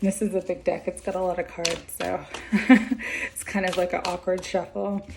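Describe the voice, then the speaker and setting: A woman doing a weekly tarot card reading, talking gently and meandering with many fillers while she is losing her voice. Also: fairly low pitch, little pitch movement, moderate pace, creaky, background noise.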